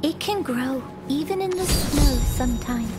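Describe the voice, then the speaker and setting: An actress playing a small, sweet, grateful village child in a video game, gentle and innocent, over music. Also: female voice, sits high, expressive, moderate pace. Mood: grateful, shy. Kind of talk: video game character acting. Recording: clear game music underneath.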